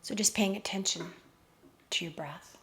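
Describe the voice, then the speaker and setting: A woman leading a slow guided meditation in a measured, hushed voice that often softens into breathiness, recorded outdoors. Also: mid-range voice, gravelly, slight background noise. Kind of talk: slow, soothing guided meditation with hypnotic induction. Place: outdoors in a garden. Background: faint outdoor garden ambience.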